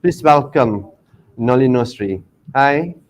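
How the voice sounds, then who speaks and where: A man moderates a livestreamed art dialogue and introduces the next panelist in a formal way. His speech is halting and full of fillers. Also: medium pitch, expressive. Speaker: moderator, lecturer.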